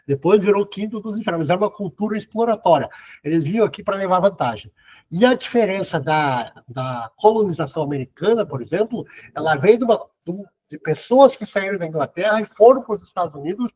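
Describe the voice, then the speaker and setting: A man's voice: high voice, expressive, fairly quick, a little mellow. A middle-aged man from Brazil, giving a casual, conversational lecture over a video call. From southern Brazil. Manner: conversational, sprinkles "cara" and "né". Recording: dull lo-fi video call audio.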